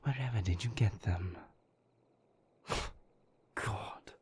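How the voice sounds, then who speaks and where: A man playing a moody, bitter vampire boyfriend in an intimate audio roleplay, breathy and husky, with sardonic, cutting, deliberate phrasing. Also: on the low side, a little mellow, full character voice. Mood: sardonic, scornful. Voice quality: breathy, husky, gravelly half-whisper.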